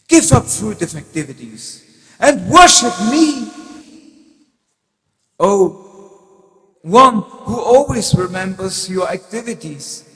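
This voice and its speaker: In a cavernous echo, a high-voiced god acted by a man speaks very deliberately. He is solemn, commanding and benevolent, declaiming as in sacred drama. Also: fairly bright tone, full character voice. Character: male Supreme Lord, half-lion deity, solemn, commanding, benevolent. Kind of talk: slow dramatic scripture reading. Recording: echoey hall, long reverb.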